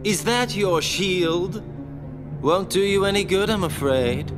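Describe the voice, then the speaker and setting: An actor playing a sly, cocky villain in a video game, sardonic and teasing, toying with phrases. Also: male voice, high voice, lively intonation, slow. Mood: taunting. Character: Axel, sly cocky mocking male villain. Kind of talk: video game cutscene acting. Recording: long reverb, music behind.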